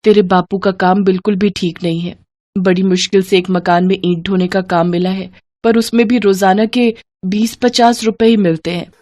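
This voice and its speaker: A woman's voice: mid-range voice, steady tone, moderate pace, mellow, dry recording, studio quality, close to an everyday voice. A woman voicing a poor, devout, loving mother in a story, tender, earnest and pleading. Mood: sorrowful, helpless.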